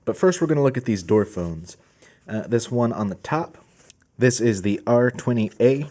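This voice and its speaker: A man's voice: medium pitch, lively intonation, moderate pace, dark tone. A man hosting a product video, walking through gear in a casual presenting style. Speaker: host of a product channel.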